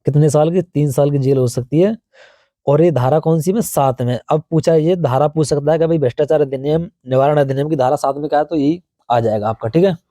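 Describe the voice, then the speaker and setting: North Indian male tutor with a very dark tone. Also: on the high side, fairly quick, no echo, clean studio sound. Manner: explanatory, conversational, asks questions and answers them himself. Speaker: exam coaching teacher. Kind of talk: informal exam review lesson.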